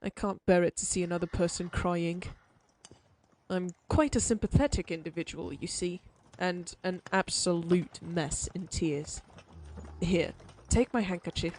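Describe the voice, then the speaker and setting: A woman's voice: on the low side, a little slow, a little mellow, a little hiss or hum, natural voice. A woman voicing a prim, sympathetic frontier lawyer in an audio roleplay, speaking formally with old-fashioned phrasing. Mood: calm, polite.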